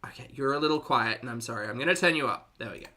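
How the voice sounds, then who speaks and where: Bright-voiced man with dry sarcasm. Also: medium pitch, rapid-fire. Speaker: reaction stream host. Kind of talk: casual live commentary to a chat.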